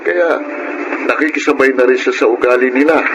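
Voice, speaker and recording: A man playing a kindly, patient priest with a Filipino accent in a radio drama, speaking in a gentle, fatherly way. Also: medium pitch, fairly even intonation, brisk, lightly acted. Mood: reassuring. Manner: gentle, reassuring. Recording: noisy radio drama recording.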